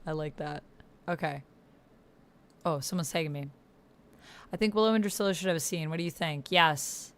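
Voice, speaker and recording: A woman's voice: low-pitched, normal speed, long pauses. A woman chatting casually on a livestream as she plays a text roleplaying game, thinking aloud and reading messages out as she types. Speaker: streamer and player of a text-based roleplaying game. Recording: noticeable background noise with keyboard typing. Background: computer keyboard typing.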